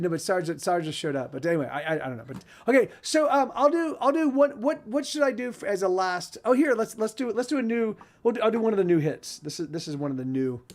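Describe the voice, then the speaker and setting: A man in his forties, a musician chatting casually with stream viewers between songs, rambling and often repeating and restarting his words. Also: high voice. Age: 40s.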